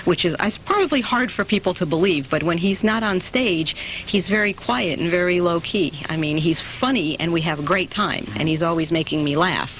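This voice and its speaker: A middle-aged woman, a singer's mother, being interviewed in easy chat, earnest and halting, laughing easily. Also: on the low side, dull audio, a little hiss or hum.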